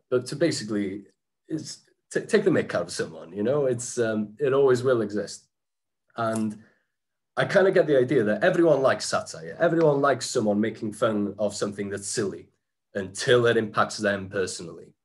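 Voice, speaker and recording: A man with a slightly low voice presents slides from a small room, heard through a webcam. He talks in a loose, chatty way, restarting sentences and filling pauses as he goes. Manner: chatty, frequent restarts and fillers, occasional laughs. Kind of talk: informal slide-talk presentation. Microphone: webcam on a video call.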